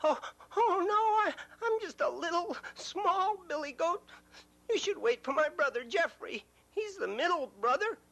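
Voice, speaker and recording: A man's voice: expressive, a little slow, gravelly, pushed and bright, exaggerated voice. A man voicing the smallest billy goat, timid and stammering. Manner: stammering, squeaky.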